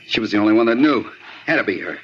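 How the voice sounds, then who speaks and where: Actor as rapid-fire bitter American crook. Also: male voice, lowish voice, expressive, close to an everyday voice. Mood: bitter, agitated. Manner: agitated, insistent, repetitive. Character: cornered, bitter male bank robber demanding who informed. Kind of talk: 1950s radio crime drama acting. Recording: muffled, noisy old 1950s radio recording.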